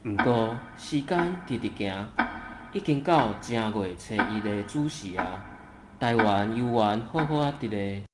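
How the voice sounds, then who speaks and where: A man's voice: lowish voice, lively intonation, measured pace, heavy background noise. A man playing a watchful deity who narrates a folk legend in a scripted storytelling style. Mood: storytelling, assured.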